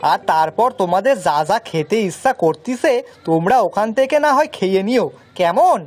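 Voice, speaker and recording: A woman's voice: mid-range voice, lively intonation, normal speed, darkish tone, faint music behind, natural voice. A woman voicing a simple, kind-hearted village man in a children's cartoon, earnest and folksy. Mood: kindly.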